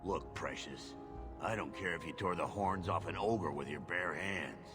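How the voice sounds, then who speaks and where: An actor playing a gruff, dismissive dwarf merchant in a fantasy game, blunt and contemptuous, with music behind him. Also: male voice, low voice, unhurried. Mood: irritated, dismissive.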